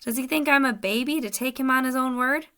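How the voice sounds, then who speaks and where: A woman's voice: high voice, fairly quick, bright, forceful tone, pitched above the performer's own voice. A woman doing a stern commanding uncle. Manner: stern, severe. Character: stern commanding older uncle, boat captain.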